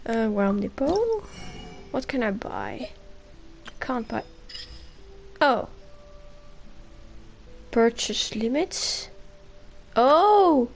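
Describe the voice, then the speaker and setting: A young woman with a fairly high voice chats to viewers while playing a game. She takes her time, rambling playfully in a casual way. Faint music plays behind her. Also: wildly swooping pitch, drawn-out words, vocal fry, long pauses. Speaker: game live streamer.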